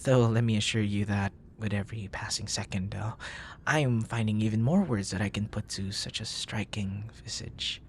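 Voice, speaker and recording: A man playing a bashful, bookish young nerd in an intimate ASMR boyfriend roleplay, speaking softly and breathily in flowery, formal phrases, flustered and hesitant, often slipping toward a whisper. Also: fairly low pitch, fairly even intonation, normal speed, slight background noise, natural voice. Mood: smitten, tender.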